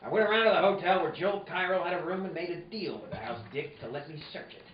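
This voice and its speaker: A man's voice: sits high, quick pace, recorded from a distance, close to an everyday voice. A man playing a tough, wisecracking private detective in an old-time noir radio play, clipped and wry. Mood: world-weary, wry. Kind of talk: 1940s noir radio narration and acting. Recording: echoey, dull, noisy room, off mic.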